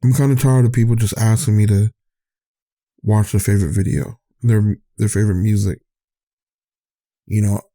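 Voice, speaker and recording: Low, dark-voiced man rambling candidly. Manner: candid, rambling, trails off and restarts, laughs often. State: voice getting tired. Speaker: podcast host. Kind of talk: casual rambling monologue.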